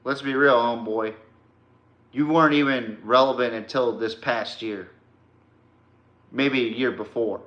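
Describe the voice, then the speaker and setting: Slow-paced, blunt man with a bright voice. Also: medium pitch, steady tone, dull audio. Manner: blunt, confrontational, slangy. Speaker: sports podcast host. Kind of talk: combative sports rant addressed to a rival. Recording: echoey room.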